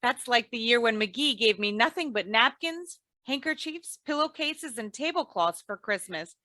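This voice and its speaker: An actress playing a shrewd, affectionate, long-suffering wife with dry, teasing wit in a 1940s-style radio comedy. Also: female voice, on the high side, lively intonation, moderate pace, sharp tone, no room sound. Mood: wry, fond. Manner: dry, teasing comebacks with homely endearments.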